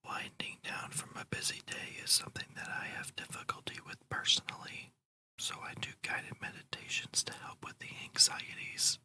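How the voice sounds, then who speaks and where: A host whispering a guided ASMR meditation in a breathy, slow, soothing and deliberate way. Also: pushed and bright.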